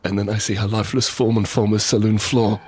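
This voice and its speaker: Actor as deep-voiced, anguished wounded father. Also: little pitch movement, a little mellow, a little hiss or hum, close to an everyday voice. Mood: pained, grave narration. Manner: halting, repetitive, pleading in dialogue. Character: injured, desperate father pleading to save his family. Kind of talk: first-person audio drama, narration and dialogue.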